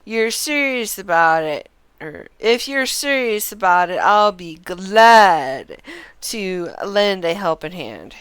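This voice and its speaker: A woman voicing a sly, boastful male ex-prizefighter from a game, taunting an old rival in rustic, mocking phrases with drawn-out emphasis. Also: mid-range voice, lively intonation, fairly bright tone, clear, resonant tone, no echo. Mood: smooth, sly. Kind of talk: reading game dialogue aloud in character.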